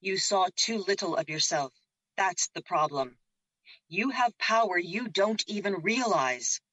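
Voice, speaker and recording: Woman playing a husky, frank imaginary friend. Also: mid-range voice, animated, a little slow, pushed and bright, no echo, exaggerated voice, rough recording. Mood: frank, encouraging. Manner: direct, advising. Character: Luna, female imaginary friend, frank, encouraging guide. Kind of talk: audio drama acting.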